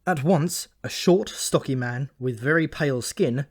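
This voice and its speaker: A man's voice: mid-range voice, unhurried, breathy edge. A man reading a scripted comic story aloud as an audiobook narrator.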